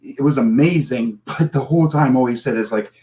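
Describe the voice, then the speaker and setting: A man in his forties tells a long story in casual podcast talk. He rambles, restarts, laughs at himself and acts out what others said. His voice sits at an ordinary middle pitch. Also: a little fast, warm tone. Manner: rambling, self-deprecating storyteller who laughs often and acts out quotes. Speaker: comic book creator guest.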